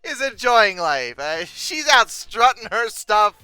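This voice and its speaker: A video host giving comedic commentary on pictures with theatrical mock exasperation, swinging between deadpan asides and outbursts. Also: medium pitch, slow, clear, resonant tone, no echo, faint music behind.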